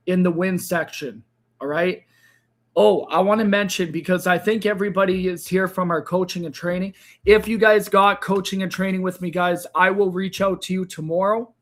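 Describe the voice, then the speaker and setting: Hoarse man hosting a trading livestream. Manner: tired, low-energy sign-off with frequent fillers. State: hoarse, losing his voice. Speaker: crypto trading livestream host. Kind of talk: casual livestream talk to viewers.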